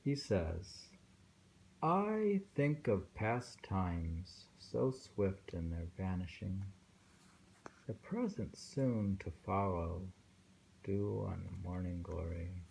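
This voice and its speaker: A man reading poems aloud informally and commenting on them in a halting, musing way. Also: sits low, expressive, gravelly, a little mellow, slight background noise, low-quality recording. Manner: halting, musing, with pauses and self-questioning. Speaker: reader and host discussing poems.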